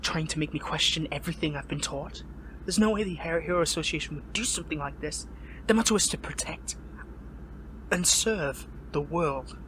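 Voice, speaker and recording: An earnest, lovelorn young hero held captive, acted by a man in a dramatic audio roleplay. His voice sits fairly high for a man and stumbles into stammers and breathy pleading as he wrestles with his loyalties. Noticeable noise sits behind the recording. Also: a little fast, bright, forceful tone, poor audio. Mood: distraught, disbelieving.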